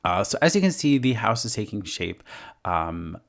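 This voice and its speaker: A man with a hoarse voice after being sick, giving a rambling, casual voice-over commentary full of fillers as a gaming creator. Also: on the low side, big pitch swings, normal speed, fairly bright tone. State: hoarse from illness.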